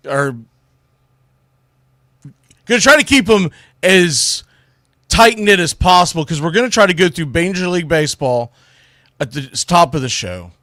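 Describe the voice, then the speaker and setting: A man's voice: a little high, lively intonation, normal speed, long pauses, sharp tone, dry recording, clean studio sound. A man hosting a casual solo sports livestream, rambling in an informal, folksy way. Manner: folksy, rambling, informal with Southern-style turns like y'all. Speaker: sports show host.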